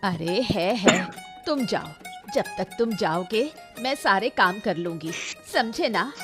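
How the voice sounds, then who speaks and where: A woman's voice: medium pitch, expressive, slow pace, a bit bright, soft background music. A woman voicing a lazy, complaining elderly mother who scolds her grown son in a Hindi cartoon story. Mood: dismissive, excusing. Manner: scolding, complaining, excuse-making.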